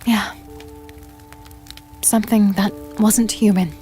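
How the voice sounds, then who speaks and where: A woman playing an anxious, devoted young companion in a dark fantasy drama, speaking earnestly and intimately, with a pleading manner. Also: mid-range voice, steady tone, takes it slowly, faint music behind, natural voice. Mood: worried, hushed.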